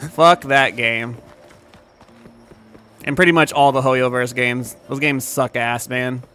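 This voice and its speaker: A man's voice: medium pitch, steady tone, slow, sharp tone, faint music behind. A young man chatting casually to his stream audience, laid-back and profane, with many fillers and restarts. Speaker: game streamer.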